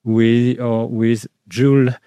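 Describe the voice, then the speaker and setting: Halting male radio host with a mellow voice. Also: mid-range voice, little pitch movement, clean tone, no room sound, clean studio sound. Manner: halting, many "uh" and repeated words. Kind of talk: hesitant interview questions.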